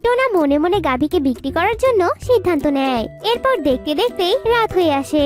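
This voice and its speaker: A woman's voice: very high-pitched, sing-song, fairly quick, darkish tone, soft background music. A woman narrating a scripted children's cartoon story.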